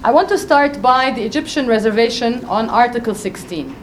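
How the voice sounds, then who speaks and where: A woman with a loud voice speaking on a conference panel, making an emphatic, persuasive case and addressing the audience directly. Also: a little high, lively intonation, brisk, slight background noise. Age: adult with a doctorate.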